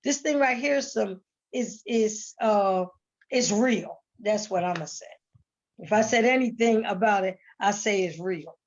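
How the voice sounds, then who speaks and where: A woman's voice: medium pitch, measured pace. An American woman speaking earnestly and casually as an interview guest on a video call, repeating words for emphasis. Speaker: stage play cast member as guest. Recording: dull online call audio.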